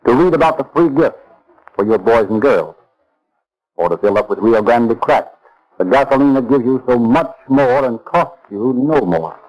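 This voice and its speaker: A man's voice: medium pitch, animated, moderate pace, darkish tone, poor audio. Polished, emphatic male vintage radio announcer. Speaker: radio announcer and sponsor's pitchman. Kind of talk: 1930s radio advertisement announcing. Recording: vintage 1930s radio recording.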